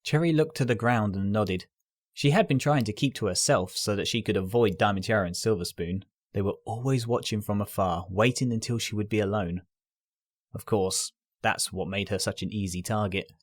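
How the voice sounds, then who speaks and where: A man's voice: lowish voice, moderate pace. A man reading scripted story narration as the narrator. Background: music.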